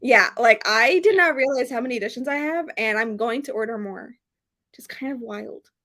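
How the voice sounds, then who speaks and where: A woman hosting a book readalong, chatting casually and excitedly, with an emphatic, gushing way of repeating herself. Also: a little high, moderate pace. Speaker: host of a book readalong live stream.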